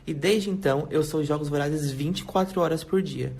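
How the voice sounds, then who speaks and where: A man's voice: on the high side, moderate pace, vocal fry, darkish tone. A man from Paraná with a Brazilian accent, introducing himself eagerly as a podcast co-host. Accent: Brazilian Portuguese. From Londrina, Paraná, Brazil.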